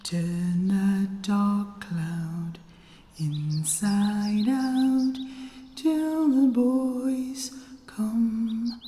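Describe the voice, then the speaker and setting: A woman singing a sentimental wartime song at an unhurried pace. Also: mid-range voice, mellow, soft background music. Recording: echoey hall with long reverb.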